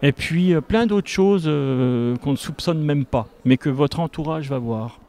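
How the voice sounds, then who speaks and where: A man in his fifties speaking as an instructor in an interview, explaining step by step and haltingly into a handheld microphone. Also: on the high side, dark tone. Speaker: tai chi instructor. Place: large school gymnasium with a group behind. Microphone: handheld reporter microphone.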